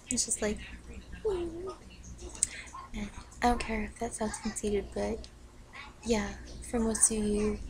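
A teenage girl rambles to her webcam from her bedroom, full of fillers and hyper energy yet drawling slowly. Her fairly high voice sounds low and rough from the cold. A TV keeps up clear noise behind her on the built-in mic. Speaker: vlogger. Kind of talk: casual webcam rant. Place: bedroom, indoors. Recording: noisy webcam recording with TV behind. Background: TV playing in the background.

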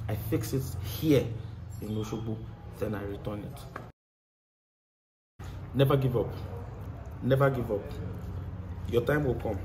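Earnest Nigerian man outdoors. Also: mid-range voice. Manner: earnest, gestures a lot, repeats phrases for emphasis. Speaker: mechanic. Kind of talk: casual interview answers. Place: outdoor workshop by a tiled wall. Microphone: lapel mic. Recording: clear outdoor background noise.

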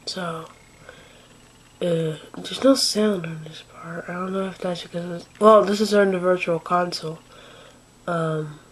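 A young woman giving casual, rambling commentary while playing a game at home, sniffly from allergies, with lots of fillers and quiet asides. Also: lowish voice, steady tone, a little slow, husky, low-quality recording. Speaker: gameplay commentator. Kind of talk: casual let's-play commentary. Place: home room at a desk.

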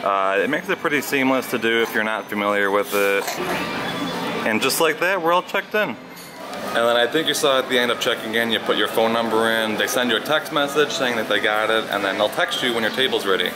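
A man's voice: mid-range voice, fairly quick, noisy background. A man in his thirties talking casually and upbeat to viewers as a vlog host, recorded on a handheld camera. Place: outdoor resort walkways, some restaurant interior. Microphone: handheld camera at arm's length. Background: music.

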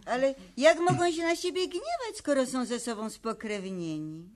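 Gentle troll mum, fairly high voice, soothing n coaxing, old kids radio drama. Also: female voice, expressive, normal speed. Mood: worried. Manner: coaxing, placating, tender. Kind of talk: 1970s Polish children's radio play acting.

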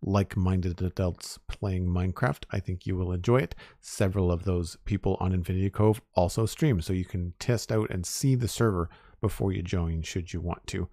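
A man's voice: deep voice, no echo, clean studio sound. A man casually chatting to his viewers while building in a game, his voice a little worn from talking all day. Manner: relaxed, chatty, talks to chat. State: losing his voice. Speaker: Minecraft streamer. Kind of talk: casual live-stream chat while building.

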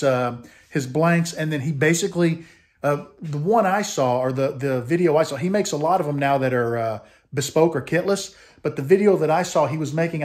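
A man in his fifties talks to his viewers in an easygoing, chatty way, dropping plenty of "uh" fillers as he goes. His voice sits a little high for a man. He films himself at arm's length inside his home woodshop. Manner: relaxed, chatty, lots of "uh" fillers. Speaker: woodworking channel host. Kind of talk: casual shop update vlog. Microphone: camera held at arm's length.

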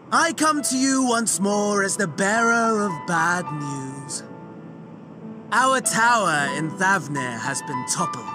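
A man playing a sardonic hooded villain in a video game, slyly courteous, with affected, mocking politeness. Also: a little high. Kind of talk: dramatic video game cutscene acting.

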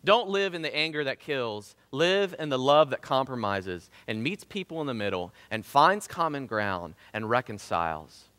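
A man in his thirties with a Southern Ohio accent preaches from a church stage, his voice bright and forceful. He leans on rhetorical questions, and his pitch rises and falls widely. The sound carries a long hall reverb. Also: very animated, moderate pace, hardly any pauses. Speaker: pastor. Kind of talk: sermon. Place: church stage in front of a drum kit.

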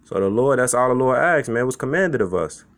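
A man of middle pitch preaches in a relaxed, conversational way, often tacking "man" or "okay" onto his lines. He reads verses aloud and then talks them over informally, like a street preacher from Chicago. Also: a little fast. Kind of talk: informal sermon with scripture reading.

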